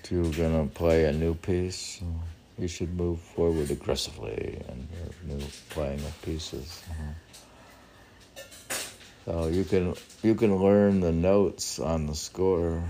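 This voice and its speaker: Older male poet, very low, creaky and unhurried; rambling, self-questioning musings over faint noise. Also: dark tone. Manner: rambling, often asking and answering own questions. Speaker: poet, meditation teacher. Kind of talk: casual musing talk with short poems. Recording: faint background noise with clicks.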